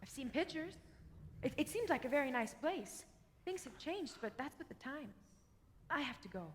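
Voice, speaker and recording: A woman's voice: sits high, lively intonation, moderate pace. A woman playing an earnest, easily alarmed young woman in an old-time radio play. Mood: guarded. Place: live stage in a hall. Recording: echoey hall, live stage taping.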